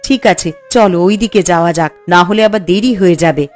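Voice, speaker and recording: A woman's voice: mid-range voice, quick pace, a little mellow, close to an everyday voice. A woman voicing a hopeful village housewife in a cartoon, homely and conversational. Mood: hopeful, practical. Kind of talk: Bengali village cartoon dialogue. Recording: music under the voice.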